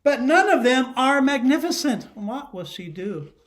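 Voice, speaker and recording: A middle-aged man, a teacher, reading a children's picture book aloud expressively, pausing to put questions to his listeners. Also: very high voice, sing-song. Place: living room at home.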